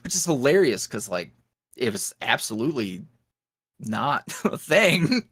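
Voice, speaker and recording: A man's voice: medium pitch, very animated, measured pace, a bit bright, breathy edge. A man being interviewed as a guest, chatting casually and telling rambling stories with frequent laughs. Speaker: ASMR roleplay creator, podcast guest.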